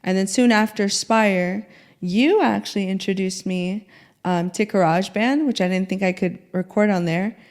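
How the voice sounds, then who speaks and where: A woman's voice: medium pitch, normal speed, darkish tone. A woman music mentor explaining her recording process in a chatty, step-by-step way. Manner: chatty, laughs easily, step-by-step. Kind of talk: casual teaching.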